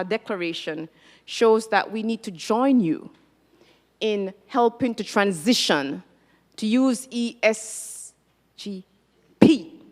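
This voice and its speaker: A woman from Jamaica, an ambassador, speaking earnestly and emphatically at a conference. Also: medium pitch. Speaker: ambassador and panelist. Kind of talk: formal conference speech. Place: large conference hall. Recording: reverberant conference hall.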